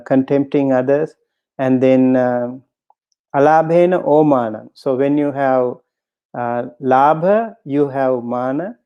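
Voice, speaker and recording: A man, a Buddhist monk, giving a dharma talk in a halting way with many pauses and fillers, reciting Pali terms and then explaining each one. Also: medium pitch, warm tone, smooth. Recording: dull lo-fi recording.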